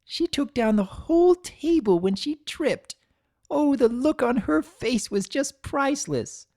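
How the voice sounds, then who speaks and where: Man as girlish young unicorn mare in a pony story, naive and gossipy, pitch lifted and bouncing. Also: sing-song, unhurried, darkish tone, no echo, studio quality, heavily acted. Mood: gossipy, amused.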